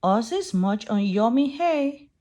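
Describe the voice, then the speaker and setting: A woman reading a rhyming children's farm book aloud in a slow, gentle way. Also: on the high side, expressive. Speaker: storybook reader.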